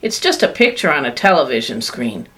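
A woman's voice: a bass voice, sing-song, a little fast, fairly bright tone, pitched below the performer's own voice, heavily acted. Woman doing a scoffing know-it-all kid. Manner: dismissive, scoffing.

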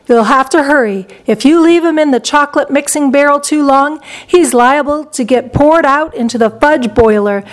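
A woman voicing an eccentric gentleman chocolate maker in a children's story, blithe, mischievous and briskly theatrical. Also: sits high, close to an everyday voice.

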